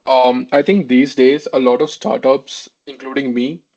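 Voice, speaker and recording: A male podcast host asking his guest questions in a measured, straightforward way. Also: mid-range voice, fairly even intonation, a little mellow. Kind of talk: interview questions. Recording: dull lo-fi audio.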